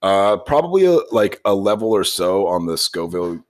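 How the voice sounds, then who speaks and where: A man's voice: deep voice, very animated, moderate pace. A man co-hosting a podcast in casual group chat, joking and often doubling back on his phrases. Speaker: podcast co-host.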